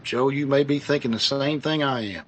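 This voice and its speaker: An actor playing a detective partner in a 1940s-style radio drama, asking plain, direct questions. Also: male voice, mid-range voice, normal speed, a bit bright, a little hiss or hum, natural voice. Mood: steady. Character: male police detective partner, steady and helpful.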